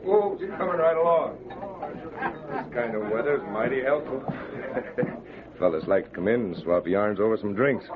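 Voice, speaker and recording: A man playing a folksy, easygoing saloon keeper with a gravelly backwoods drawl in an old-time radio adventure. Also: medium pitch, lively intonation, unhurried, pauses often, over a noisy crowd, close to an everyday voice. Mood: easygoing, chatty. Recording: muffled, crackly old radio broadcast recording.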